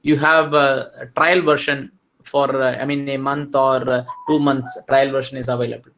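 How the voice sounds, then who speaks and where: A man's voice: mid-range voice, level tone, moderate pace, fairly bright tone, a little hiss or hum. A man with an Indian English accent giving a technical webinar over a video call, explaining step by step and often checking in with "right?". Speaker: webinar speaker.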